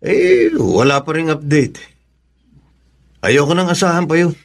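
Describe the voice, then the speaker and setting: A man's voice: on the high side, huge pitch swings, a little slow, creaky, exaggerated voice. A man playing a level-headed, matter-of-fact young jobseeker in a Filipino radio drama, mildly scolding. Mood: calm, resigned.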